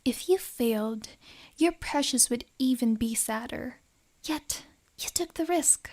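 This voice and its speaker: A woman playing a possessive, lovesick yandere in an ASMR roleplay, with soft, whispery, formally phrased speech and a wounded air. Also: a little high, unhurried. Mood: suspicious.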